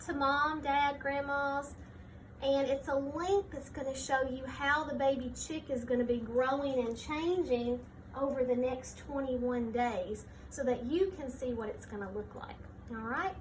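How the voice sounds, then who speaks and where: A woman teacher reading a picture book aloud to young children, pausing to explain things simply and point them out. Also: high voice, a little hiss or hum.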